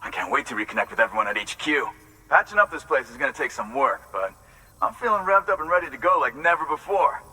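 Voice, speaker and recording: Animated, bright-voiced eager outpost guy. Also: fairly high pitch, fast, distant mic, poor audio. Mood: hopeful, fired up. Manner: excitable, casual American slang. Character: upbeat eager male outpost worker, friendly, starstruck. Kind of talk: video game cutscene acting.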